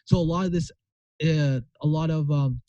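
A man's voice: fairly high pitch, steady tone, normal speed, mellow, no echo. A young man from Pakistan, a guest talking in a casual interview in an earnest, rambling way with many restarts. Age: young adult. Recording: muffled lo-fi sound.

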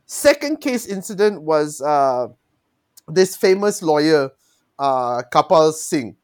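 A man's voice: fairly high pitch, big pitch swings, slow pace, darkish tone, clean tone. A young man with a Malaysian English accent, co-hosting a casual podcast, telling stories with mocking impressions and swearing. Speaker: podcast co-host. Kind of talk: casual chat.

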